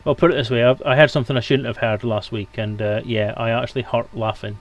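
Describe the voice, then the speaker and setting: A man's voice: medium pitch, steady tone, fast, dull audio. A man hosting a live stream in casual, bantering, sweary chat. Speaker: stream host.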